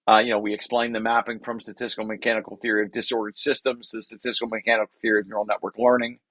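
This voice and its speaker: Older man lecturing, bright forceful voice. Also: mid-range voice, no room sound, low-quality recording. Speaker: researcher presenting his paper. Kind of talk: informal technical lecture, reading through a paper.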